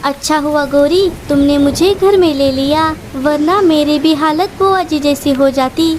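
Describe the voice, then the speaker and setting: A polite, clever little bird from a children's cartoon, voiced by a woman, with an extremely high voice. She speaks slowly in a helpful, pleading way. Clear background noise is present. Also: darkish tone. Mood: feigned innocence. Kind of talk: Hindi children's cartoon dialogue.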